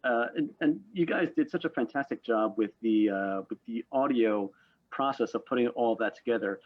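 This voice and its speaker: Male discussion host. Rough-edged, husky tone, wordy hesitant questions, muffled lo-fi sound. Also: mid-range voice, normal speed. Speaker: panel host. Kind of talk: conversational interviewing.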